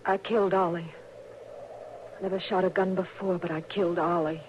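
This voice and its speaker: An actress playing a grim, resolute frontier woman in a 1950s radio drama, speaking flatly. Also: lowish voice, fairly quick, slight background noise, close to an everyday voice. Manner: flat and quietly determined. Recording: dull old radio recording.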